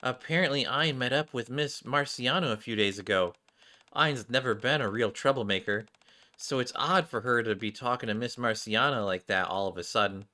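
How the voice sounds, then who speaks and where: A man's voice: mid-range voice, moderate pace, pushed and bright. A man streaming a game, reading its dialogue aloud and acting it out, now and then stumbling and correcting himself.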